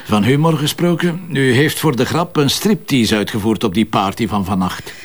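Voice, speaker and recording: A man plays a Flemish police commissioner in a naturalistic crime drama. He questions politely, with a dry edge. His manner is probing and pointed, at an everyday mid-range pitch. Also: animated, normal speed, natural voice.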